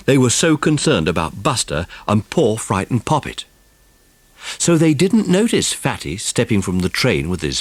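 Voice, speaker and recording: A man's voice: lowish voice, no echo. A man narrating a dramatised children's storybook. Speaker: audiobook narrator.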